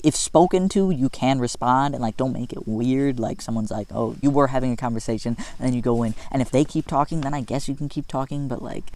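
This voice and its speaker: A man's voice: mid-range voice, darkish tone. A young adult man giving casual advice in a vlog, upbeat and chatty, full of "like" and laughing at himself. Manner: upbeat, chatty, self-deprecating with filler likes. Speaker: vlogger.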